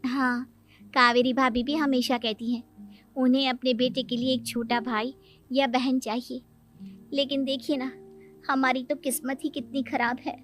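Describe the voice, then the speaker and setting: A woman's voice: high voice, flat delivery, measured pace, soft background music. A woman voicing an affectionate, devoted young aunt in a cartoon moral story, earnest and eager. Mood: sad.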